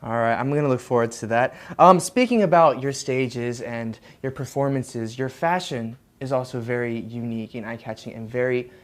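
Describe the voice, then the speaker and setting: A woman hosting a casual interview, bubbly and quick to giggle. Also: a bass voice. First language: Korean not first language.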